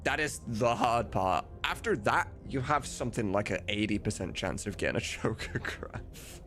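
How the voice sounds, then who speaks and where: A man's voice: on the low side, expressive, normal speed, a bit bright, faint music behind. A man livestreaming as he works, chatting casually with viewers and muttering to himself. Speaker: livestreamer and game modder. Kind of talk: casual chat while working.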